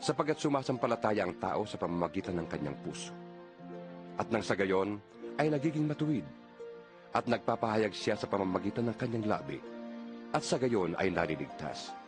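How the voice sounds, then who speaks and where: A man narrates scripture with a lively, expressive range of pitch. His delivery is earnest and measured, explaining as he goes, with music clearly playing underneath. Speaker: audio Bible narrator. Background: background music.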